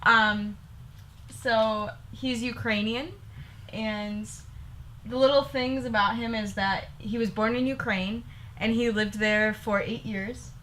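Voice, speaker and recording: Young woman vlogger, giggly and rambly, higher bright voice, faint room hiss. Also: fairly even intonation, slow pace, poor audio. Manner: giggly, rambling, repeats herself. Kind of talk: casual couple Q&A vlog.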